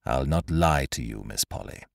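A man's voice: extremely low pitch, animated, moderate pace, vocal fry, no echo, studio quality, pitched below the performer's own voice, exaggerated voice. A man voicing a hard, proud pirate captain who speaks curtly and coldly. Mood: defiant. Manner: curt, cold and defiant.

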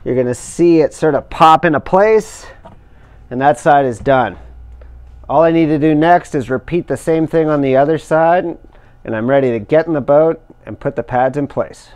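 A middle-aged man calmly explaining each step of a hands-on installation as he works. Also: a little high, smooth. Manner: careful, measured explaining while working with his hands. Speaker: instructor demonstrating kayak outfitting. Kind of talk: step-by-step how-to demonstration.

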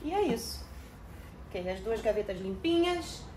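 A woman's voice: on the high side, sing-song, a little slow, darkish tone, slight background noise. Chatty woman tidying her kitchen. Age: middle-aged. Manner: chatty, addresses viewers as "gente", uses many diminutives. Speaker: home organizing vlogger. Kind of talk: casual chatty narration while tidying. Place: home kitchen.

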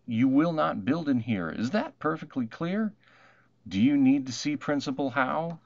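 A man's voice: mid-range voice, expressive, measured pace, creaky, dull audio, voice pushed up. A man voicing a stern, theatrical woman teacher from a children's storybook, with emphatic delivery. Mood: stern, scolding. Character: stern, dramatic woman second-grade teacher.

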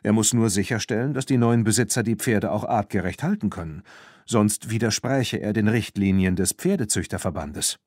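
A man's voice: fairly low pitch, normal speed, a little mellow, no echo, lightly acted. A man reads as a self-assured woman who runs a farm in a storybook. She is plain-spoken and matter-of-fact, with a very dry recorded sound. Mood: questioning, firm.

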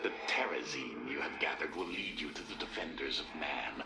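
A man playing an arrogant, contemptuous alien warlord in a video game, speaking in a haughty, theatrical, deliberate way. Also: extremely low pitch, expressive, vocal fry, exaggerated voice. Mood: grudging, condescending. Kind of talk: video game cutscene acting. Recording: echoing, loud game music and effects behind.

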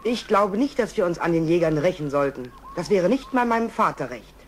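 A man's voice: high-pitched, lively intonation, fairly quick, background noise. An actor playing a noble, peace-loving young male lion, earnest and resolute, in a children's audio drama. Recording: music bed under the dialogue.

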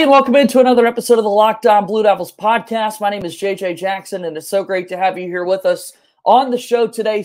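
A man's voice: high-pitched, darkish tone. A man in his thirties hosting a sports podcast in an eager, enthusiastic way from a small room at home. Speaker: podcast host.

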